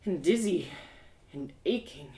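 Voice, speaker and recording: A woman reading a story live in an expressive, theatrical way, as host and narrator. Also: low-pitched, measured pace, a little hiss or hum. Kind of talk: animated first-person storybook narration. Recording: echoey room.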